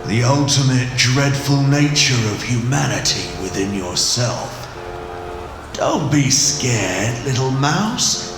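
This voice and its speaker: An actor playing a sadistic, possessed psychopath who taunts his prey in a sing-song, drawn-out way in an audio drama. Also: medium pitch, expressive. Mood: sinister, preaching. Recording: echoing voice under music.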